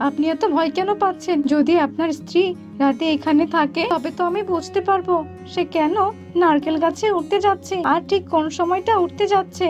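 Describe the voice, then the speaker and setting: A woman playing an insistent, explaining cartoon doctor who reassures, on a dull lo-fi recording. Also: very high-pitched. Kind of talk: Bengali cartoon voice acting.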